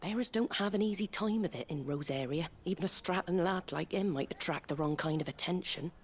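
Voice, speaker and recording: A woman's voice: on the low side, a little fast, close to an everyday voice. A British woman playing a wary, blunt middle-aged tavern landlady in a video game, guarded, streetwise and plain-spoken. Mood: wary, warning. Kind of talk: dramatic video game acting.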